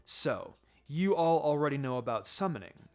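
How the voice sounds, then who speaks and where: A man voicing a calm, dry adult ninja teacher in a story reading, with a low-key, gently chiding manner. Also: moderate pace, faint music behind. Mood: calm, curious.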